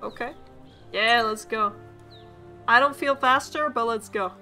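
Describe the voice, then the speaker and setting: A woman with a mild Swedish accent chats loosely through a game, rambling and swearing between laughs. Her voice is bright and a little high. Faint music runs underneath. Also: measured pace. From Sweden. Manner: chatty, swearing, laughing often, rambling asides. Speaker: VTuber streamer. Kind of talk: casual gameplay commentary.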